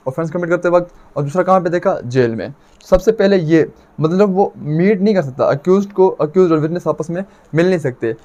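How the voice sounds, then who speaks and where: A man with a Pakistani accent and a fairly high-pitched voice gives an explanatory law lecture. He teaches step by step, restating each point and putting questions that he then answers himself. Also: darkish tone. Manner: didactic, repeats points and asks himself questions.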